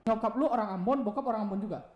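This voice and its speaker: A man's voice: sits high, very fast, muffled recording. A young man, co-hosting a podcast in a teasing, mock-formal way with frequent swearing. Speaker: podcast co-host. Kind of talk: casual podcast chat. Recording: echoey room.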